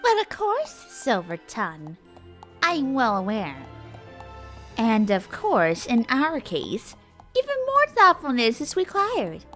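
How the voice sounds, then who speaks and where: A man voicing a poised young filly who plays a royal advisor, sly and measured. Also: very high voice, wildly swooping pitch, sharp tone, faint music behind, voice pushed up, heavily acted. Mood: sly persuasive.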